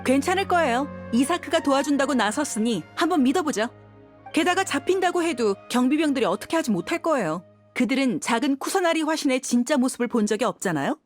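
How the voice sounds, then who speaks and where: Mercenary huntress, high animated voice, confident, polite, composed, video game acting, background music. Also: female voice, fast. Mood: calm, assured.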